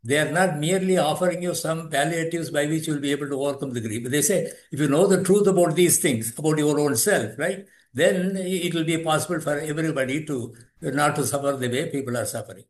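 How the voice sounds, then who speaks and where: An older man from South India with a Tamil accent, a yoga teacher and author explaining philosophy in a measured way, often pausing to search for words. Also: on the high side. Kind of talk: interview explanation.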